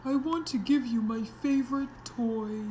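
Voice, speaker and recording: A woman's voice: on the high side, unhurried, dark tone, a little hiss or hum, natural voice. A woman voicing an eager, well-meaning but easily tempted male dog, speaking earnestly in a children's picture book read-aloud. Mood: sorry.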